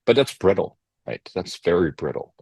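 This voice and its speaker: Young Montrealer, French native, deep husky voice, talks fast with filler words, dry close sound. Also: male voice, no echo. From Montreal, Canada. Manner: animated, rapid, frequent fillers and restarts. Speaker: guest. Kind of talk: casual podcast conversation.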